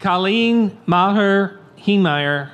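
Male announcer, high pitch, formal and measured; reads names at a tented outdoor ceremony, loud background noise. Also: lively intonation, highs cut off. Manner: measured, clear reading of each name and degree. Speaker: name reader at a graduation. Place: large outdoor tent ceremony. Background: crowd noise and applause.

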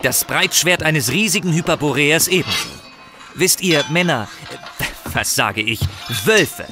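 A man's voice: a little high, animated, measured pace, over music. A man narrating a fantasy audio drama in a theatrical, conversational storytelling style with musing asides.

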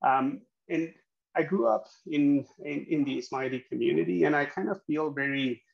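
Man in his 30s, gravelly, halting speaker; dull lo-fi video call sound. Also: fairly high pitch. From Pakistan. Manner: hesitant, many fillers and restarts. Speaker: guest speaker, accounting educator. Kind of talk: webinar presentation. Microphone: video call webcam.

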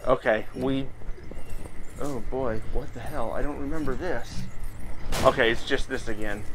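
A man's voice: medium pitch, a little slow, fairly bright tone. A man hosting a gaming video, giving casual, chatty commentary and thinking aloud as he plays. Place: indoors, playing in a VR headset in front of a camera. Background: game music.

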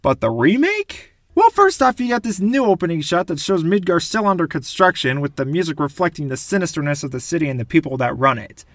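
A young man with a high voice that swings up and down eagerly. He reviews video games in a gushing, scripted style. The sound is close and dry, with faint music behind him. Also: brisk, few pauses, no room sound. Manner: gushing, animated. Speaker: video game reviewer, host. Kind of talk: enthusiastic scripted commentary.

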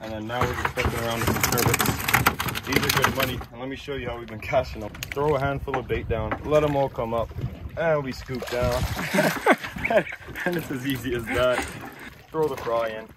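Excited young guy vlogging from a boat. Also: mid-range voice, moderate pace. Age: young adult. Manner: excited, swears casually, calls people bro. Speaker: fishing vlogger. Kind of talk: casual fishing vlog. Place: open boat at sea, outdoors. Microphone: handheld phone camera. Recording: loud wind and boat noise.

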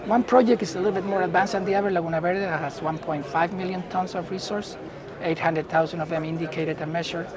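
A middle-aged man from Chile with a Chilean Spanish accent, answering interview questions as a company executive in halting phrases. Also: sits high, steady tone. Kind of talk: unscripted business interview. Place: indoor booth at a trade conference. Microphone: lapel mic. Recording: busy event hall chatter behind.

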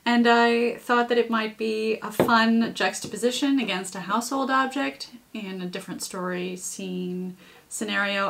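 A woman in her thirties presenting a demonstration with a co-host, with deliberate, measured phrasing and slightly theatrical touches. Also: medium pitch, creaky, bright, forceful tone. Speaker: puppetry presenter. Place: home kitchen.

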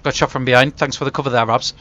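A young man, chatting excitably and teasingly with friends while gaming. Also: mid-range voice, very fast, lo-fi sound, a little hiss or hum. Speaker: gamer. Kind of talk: casual gaming chat.

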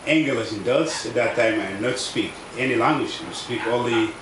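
South Sudanese man in his thirties, halting non-native English, outdoor tent mic, echoey and distant with background noise; personal testimony. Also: mid-range voice, a bit bright. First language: a South Sudanese language. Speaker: refugee speaker. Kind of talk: personal testimony at a public event. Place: outdoors under a tent canopy at a camp. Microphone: table microphone on stand.

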